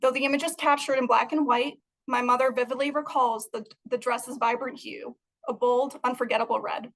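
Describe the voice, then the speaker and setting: A woman's voice: fairly high pitch, steady tone, fairly quick, fairly bright tone, low-quality recording. A young adult woman from Moldova, giving an earnest, prepared talk about her art over a video call. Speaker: guest artist.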